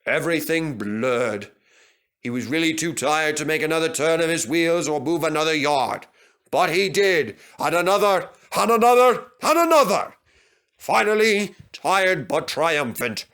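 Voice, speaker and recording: Male children's story reader: measured pace, lively pitch movement, expressive narration.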